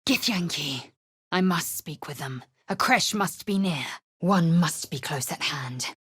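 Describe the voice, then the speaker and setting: Husky alien warrior woman for a video game, kinda low and slow, haughty and fierce, super dry recording. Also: expressive, no echo, exaggerated voice. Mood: proud, scornful. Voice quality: husky, raspy. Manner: clipped, haughty, imperious, formal archaic phrasing. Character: fierce, proud githyanki warrior woman, disdainful and commanding.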